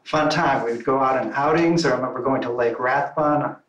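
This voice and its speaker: A man's voice: mid-range voice, a little fast, fairly bright tone, a little hiss or hum. A man speaking to a club meeting with enthusiasm, repeating his phrases for emphasis. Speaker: club member. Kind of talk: informal speech to a club meeting. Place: meeting room. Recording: roomy sound from a distant mic.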